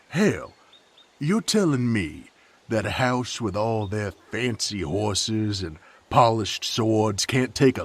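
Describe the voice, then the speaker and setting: Gruff, hot-tempered rustic knight, played by a man, audio drama; unhurried drawl, gravelly, sardonic. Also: deep voice, heavily acted. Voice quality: gravelly, husky. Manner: folksy drawl with rustic slang. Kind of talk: character audio roleplay acting.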